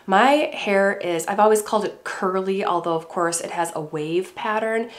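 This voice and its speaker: A middle-aged woman with a congested voice from a cold, calmly explaining her hair care routine to camera at home. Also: mid-range voice, normal speed. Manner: calm, gentle, explaining step by step. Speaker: beauty vlogger. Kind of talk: casual talk to camera. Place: home room indoors.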